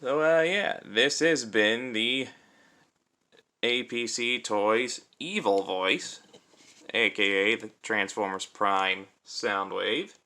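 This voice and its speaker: A man's voice: on the low side, animated, slow pace, sharp tone. A man giving a casual hands-on product review in an informal, conversational way, thinking aloud as he demonstrates. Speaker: toy reviewer and host.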